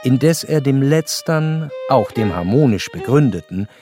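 An actor playing an educated, observant narrator in a literary radio play, speaking in a measured, precise and wry way. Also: male voice, mid-range voice, lively intonation, close to an everyday voice. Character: educated, curious male narrator, observant and wryly detached. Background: violin music.

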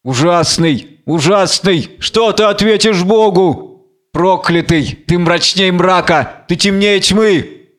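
A man's voice: sits high, slow pace, a bit bright, pitched above the performer's own voice. A man voicing a distraught, fearful blind woman in a declamatory, imploring reading of a biblical tragedy. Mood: anguished cursing.

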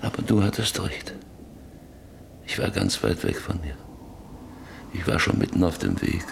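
A man's voice: deep voice, big pitch swings, a little slow, gravelly, a little hiss or hum, exaggerated voice. An actor playing a stern, domineering middle-aged farmer and father in a German radio drama, speaking in a commanding, insistent way. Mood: brooding.

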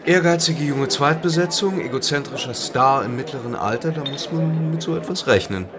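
An actor in a radio play voicing a witty, urbane playwright who asks dry, probing questions. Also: male voice, on the high side, normal speed, crowd noise, lightly acted. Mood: wry, probing.

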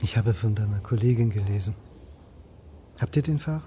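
Actor as mellow inquisitive history lecturer. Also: male voice, lowish voice, a little fast, a little hiss or hum, natural voice. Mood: inquisitive, calm. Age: middle-aged. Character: mild middle-aged male history lecturer, quietly inquisitive. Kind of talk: naturalistic radio drama dialogue.

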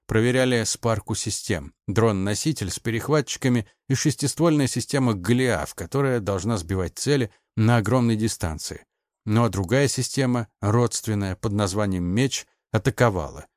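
A man's voice: on the low side, expressive, moderate pace, darkish tone, dry recording, clean studio sound. A man narrating an audiobook in a scripted, dramatic storytelling style.

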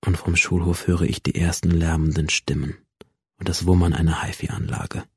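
An actor playing a brooding, detached male school student in an introspective, measured first-person radio drama monologue, with a low, husky voice. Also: level tone, darkish tone, dry recording, clean studio sound. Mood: cold, resolved.

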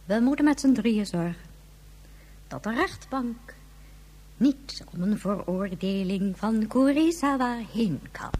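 A woman's voice: on the high side, animated, slow pace, darkish tone. A woman playing a stern, imperious president who gives formal, commanding orders in a Dutch science fiction radio play. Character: stern, imperious female president of a moon government.

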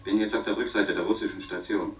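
An actor playing an uneasy, stubborn astronaut who reports tersely and haltingly over an intercom in a German science-fiction radio play. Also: male voice, low voice, fairly even intonation, faint music behind. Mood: grave, reporting. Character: uneasy, stubborn astronaut exploring a wrecked asteroid station. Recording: dull, lo-fi radio sound.

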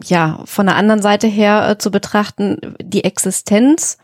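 A woman co-hosting a podcast discussion, wording her points in a measured, careful way. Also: mid-range voice, steady tone, darkish tone, dry recording, clean studio sound. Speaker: podcast co-host.

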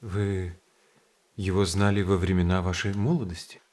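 Husky, uneasy, polite circus man. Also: low-pitched, little pitch movement, normal speed, darkish tone. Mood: cautious. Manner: hesitant, apologetic, courteous. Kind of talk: theatrical radio play acting.

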